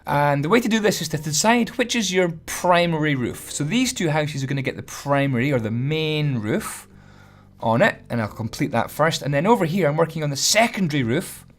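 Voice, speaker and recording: A hoarse man, chatty and self-deprecating as he gives a casual building tutorial voice-over. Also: a little high, lively intonation, fairly quick. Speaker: Minecraft building tutorial host.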